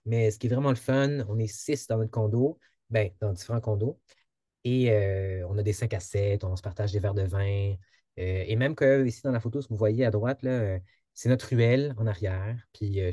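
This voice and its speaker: Hesitant Québécois man, low dark voice. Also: no room sound, studio quality. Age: 30s. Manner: hesitant, many fillers and restarts. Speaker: project presenter. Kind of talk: informal presentation in an online info session. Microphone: video call.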